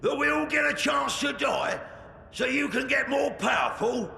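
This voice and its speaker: A rowdy orc henchman yells high and very harsh. His cheeky, slangy lines swing about in a reverberant space, thick with gravel. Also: moderate pace, a little hiss or hum, full character voice. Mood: cheeky, doubtful. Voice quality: very gravelly, rasping. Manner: coarse, slangy, yelled. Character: rowdy, cheeky orc underling eager for battle. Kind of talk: video game cutscene acting.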